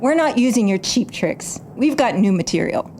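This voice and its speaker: A woman's voice: fairly high pitch, big pitch swings, moderate pace, slight background noise. A woman playing an embittered, defiant stage magician in an audio drama, narrating her own story with dramatic intensity. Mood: angry, defiant. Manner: dramatic, swings from hushed narration to angry outbursts. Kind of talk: audio drama acting with first-person narration.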